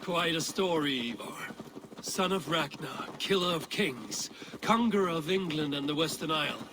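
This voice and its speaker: A man plays a level-headed Viking commander in a video game. He speaks in a measured, matter-of-fact way, with a slightly raised pitch. Outdoor ambience is clearly heard behind him. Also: lively intonation, background noise, lightly acted. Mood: curious, admiring. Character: steady, commanding Viking war leader, level-headed comrade.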